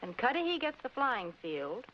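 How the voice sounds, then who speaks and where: An actress playing a shrewd, confident young woman in a 1940s comedy film, brisk and coy with slangy lines. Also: a little high, sing-song. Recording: muffled, noisy old film soundtrack.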